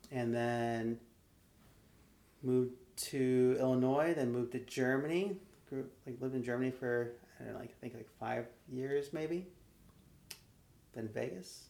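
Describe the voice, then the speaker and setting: A man being interviewed, answering with pauses, fillers and laughs, who grew up in Nevada. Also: medium pitch, creaky, dark tone, roomy sound. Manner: hesitant, laughing, lots of fillers. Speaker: interview guest. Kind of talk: casual conversation.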